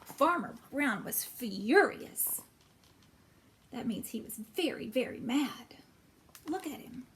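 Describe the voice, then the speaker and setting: A woman's voice: high-pitched, expressive, slow, pauses often, fairly bright tone. A woman hosting storytime for children, reading and explaining simply, with questions put to young listeners. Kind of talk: animated storybook read-aloud and craft talk for children.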